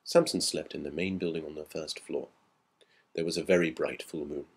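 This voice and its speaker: A man narrates an old-fashioned ghost story as a scripted reading. His voice sits very low and has a husky grain. The delivery is measured and dramatic. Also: fairly even intonation. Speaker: audiobook narrator.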